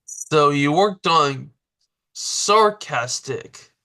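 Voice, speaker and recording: Slow, bright-voiced male podcast host. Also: fairly high pitch, lively intonation. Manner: halting, stumbling questions. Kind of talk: interview questions.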